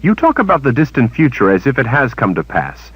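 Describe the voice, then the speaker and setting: A defiant young woman throws out sharp, lively accusations, as a male narrator lifts his voice for her. Her lines climb high and animated. The recording is dry and a little dull. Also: a little fast, breathy edge, no echo, full character voice. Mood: angry. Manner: indignant, rising.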